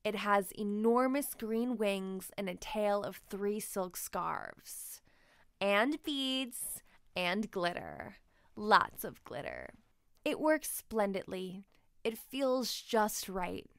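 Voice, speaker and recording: A woman reading a children's story aloud expressively, with deliberate, emphatic phrasing. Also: medium pitch, animated, smooth, no echo, clean studio sound. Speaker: children's book narrator.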